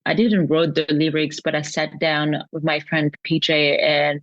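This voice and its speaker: A woman speaks low, chatting as a guest musician. She talks haltingly, often searching for the right word. The sound is dry and a bit dull. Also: fairly even intonation, dry recording. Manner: halting, searching for words, laughs easily. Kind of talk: casual interview chat.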